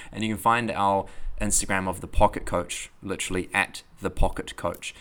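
New zealand man, podcast coach, deep voice, casual and rambling. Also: fairly even intonation, moderate pace, a bit bright, clear, resonant tone. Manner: rambling, many fillers and restarts. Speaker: podcast host and mindfulness coach. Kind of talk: casual spoken talk and podcast outro.

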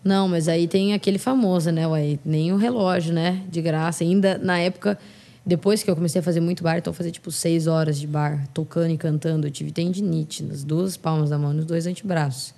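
A woman's voice: deep voice, steady tone, brisk, creaky, dark tone. A woman from São Paulo, a singer and podcast guest, chatting frankly and expressively in a casual interview. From São Paulo, Brazil.

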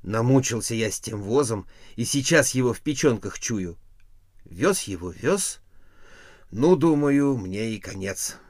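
A man acting a lively, boastful peasant husband. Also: medium pitch, a little slow, no echo, clean studio sound. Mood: coaxing, storytelling. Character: naive, easygoing peasant husband, boastful storyteller. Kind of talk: folk tale character voice.

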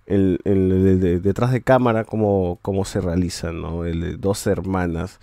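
A man's voice: low-pitched, fairly even intonation, unhurried, creaky, warm tone, no room sound. Man, casual podcast review, restarts a lot, ends lines with "right?", dry room. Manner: rambling, restarts often, tags sentences with a questioning "right?". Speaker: podcast host reviewing shows.

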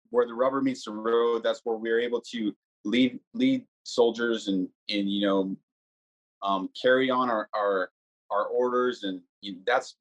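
A man from the army answering interview questions in a measured way with frequent fillers. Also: medium pitch, low-quality recording.

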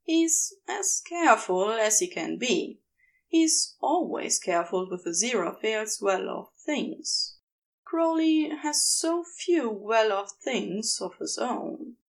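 Slow, expressive woman reading fiction. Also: fairly high pitch, gravelly, poor audio. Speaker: podfic narrator. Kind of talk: scripted fiction narration.

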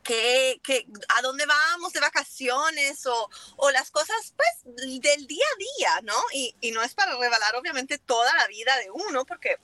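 A woman with a high, crisp-edged voice speaks in a casual interview, recorded dry and close. She grew up with Italian and English, and her Spanish carries a foreign accent. She tells things in an animated way, dropping in English words. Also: unhurried, hardly any pauses, no room sound. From Italy and Germany. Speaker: interview guest, business owner.

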